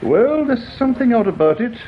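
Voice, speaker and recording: An actor playing a fussy, hesitant English small-town newspaper editor in a radio drama. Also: male voice, extremely high pitch, sing-song, a little fast, phone-quality sound, a little hiss or hum. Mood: hesitant, helpful.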